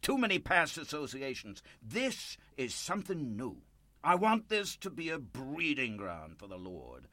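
Man acting a folksy, zealous older businessman, swooping pitch. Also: wildly swooping pitch, measured pace, bright, forceful tone, dry recording, heavily acted. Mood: excited. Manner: folksy, rambling, hesitant, pious. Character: earnest, folksy older born-again businessman, nervous and zealous. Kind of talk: radio play acting.

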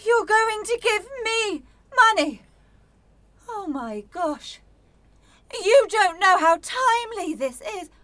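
A woman's voice: very high-pitched, animated, slow, a bit bright, dry recording, exaggerated voice. A woman playing a scatty, overworked young student in a radio play, breezy, blunt and rambling as she talks. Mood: excited, relieved. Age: young adult. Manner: breezy, rambling, self-interrupting. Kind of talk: naturalistic radio play acting.

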